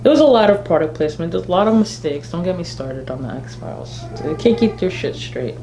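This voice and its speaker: A woman's voice: lowish voice, lively intonation, fairly quick, warm tone, soft background music. A woman co-hosting a casual fan livestream, opinionated and blunt, swearing freely as she rants. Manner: blunt, sweary, exasperated ranting. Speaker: fan vlog co-host. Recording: roomy, slightly noisy livestream audio.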